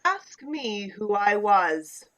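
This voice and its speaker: A woman's voice: mid-range voice, big pitch swings, extremely slow, pushed and bright, exaggerated voice, poor audio. A woman playing the mournful, stern ghost of a dead moneylender in a theatrical radio play, declaiming in solemn laments. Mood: solemn, ominous.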